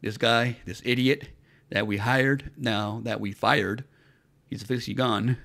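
A man's voice: medium pitch, steady tone, measured pace, gravelly, fairly bright tone. A man giving mocking commentary on entertainment news, sarcastic and fond of repeated rhetorical questions. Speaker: commentary channel host.

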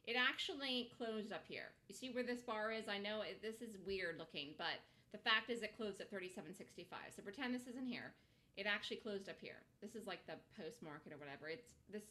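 A woman's voice: fairly high pitch, pushed and bright. A woman host giving informal, conversational trading commentary, thinking aloud, asking rhetorical questions and repeating words for emphasis. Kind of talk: informal market commentary over screen-shared charts.

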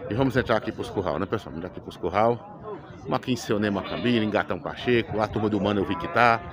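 A man's voice: on the low side, rapid-fire. A middle-aged man, chatting casually to viewers as he walks through outdoor livestock pens. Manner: chatty, pointing things out to viewers. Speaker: vlogger visiting a cattle fair. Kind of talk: casual on-the-spot commentary. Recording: busy outdoor crowd noise. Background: crowd chatter.

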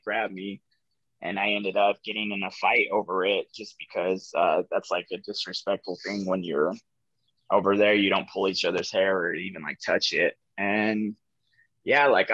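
Soft-spoken young man, lo-fi dry call audio. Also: fairly low pitch, dry recording. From New Mexico, USA. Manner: soft-spoken, reflective, frequent "like" and "um" fillers. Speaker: guest sharing his recovery story. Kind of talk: candid personal storytelling on a podcast. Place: office room with ceiling lights. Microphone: video call webcam.

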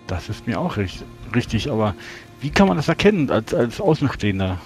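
A man's voice: mid-range voice, very animated, a little fast, over music. A man improvising in-character dialogue as a player in a tabletop role-playing game.

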